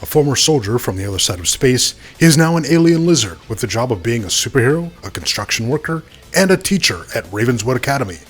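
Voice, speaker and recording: A man playing a slangy, joking fight commentator who banters his way through an animated match broadcast. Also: medium pitch, fast talker, few pauses, breathy edge, soft background music. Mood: bantering. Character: hip-talking, joking male fight commentator. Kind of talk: sports commentary in animated show.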